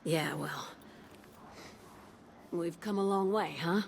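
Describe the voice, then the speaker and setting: An actress voicing a tough, warm-hearted fighter pilot in a video game, with a folksy, plain-spoken manner. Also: female voice, fairly low pitch, slow, creaky, a little hiss or hum. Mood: fond, chatty. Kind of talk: video game character dialogue.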